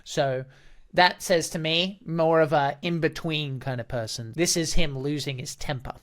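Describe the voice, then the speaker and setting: A man's voice: a little high, unhurried, a bit bright. A man who works as a voice actor and dungeon master, explaining his approach to an audition in an analytical, think-aloud manner. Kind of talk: explanatory commentary on a voice acting audition.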